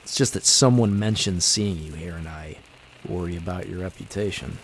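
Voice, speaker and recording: An actor playing a young speakeasy proprietor with a secret vigilante life in an old time radio drama, speaking in a hesitant, conversational way. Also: male voice, sits low, moderate pace, slight background noise. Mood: uneasy, concerned. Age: young adult.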